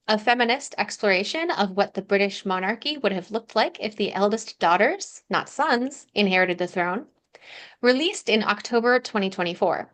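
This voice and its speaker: A woman's voice: mid-range voice, fairly bright tone. A woman hosting a podcast, delivering scripted introductions and conversational interview questions. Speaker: podcast host.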